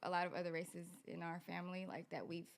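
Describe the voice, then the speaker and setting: A woman's voice: fairly low pitch, flat delivery, fast talker, vocal fry. Slangy Bay young woman. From Vallejo, California. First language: Tagalog. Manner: loose slangy talk full of "like" and restarts. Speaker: guest singer-songwriter. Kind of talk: casual chat.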